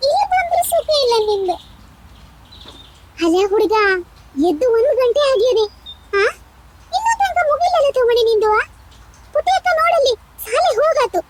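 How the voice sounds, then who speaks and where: Someone voicing a very high-pitched nagging village mother. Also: sing-song, normal speed, pauses often, slight background noise. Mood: exasperated. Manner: nagging, grumbling, coaxing. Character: exasperated village mother scolding and coaxing her son. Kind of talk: cartoon dialogue in colloquial village speech.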